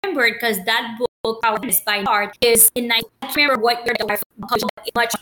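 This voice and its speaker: A woman's voice: fairly high pitch, steady tone, fairly quick, background noise. A woman from Haiti talking casually about her personal journey, rambling with many fillers and restarts.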